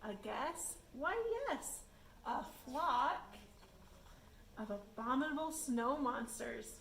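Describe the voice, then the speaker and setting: A woman's voice: on the high side, takes it slowly, gravelly, fairly bright tone. A woman reading a rhyming children's picture book aloud, addressing children and inviting guesses. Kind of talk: rhyming storybook read-aloud. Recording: echoey room, background noise.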